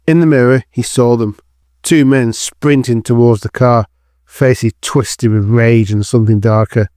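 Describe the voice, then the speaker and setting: A man's voice: mid-range voice, steady tone, unhurried, mellow, dry recording, clean studio sound. A man narrating a scripted dramatic story as the narrator of an audio drama.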